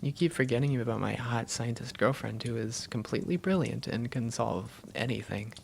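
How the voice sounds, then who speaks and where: A woman's voice: very deep voice, normal speed, vocal fry, a little mellow, a little hiss or hum, voice pushed down. A woman playing an affectionate, teasing young vampire girlfriend in an intimate roleplay audio, playful and tender with her partner. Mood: tender, soothing.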